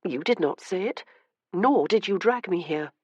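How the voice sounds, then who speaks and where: A man's voice: high voice, animated, normal speed, slightly rough, no room sound, muffled recording. A man voicing a young woman teacher, composed though hurt, speaking earnestly and reflectively.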